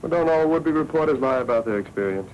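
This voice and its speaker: An actor playing a wry, shrewd newspaper editor with dry, clipped banter in a 1940s crime film. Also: male voice, medium pitch, animated, fast, darkish tone, dull audio, lightly acted. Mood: dry, needling. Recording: noisy 1940s film soundtrack.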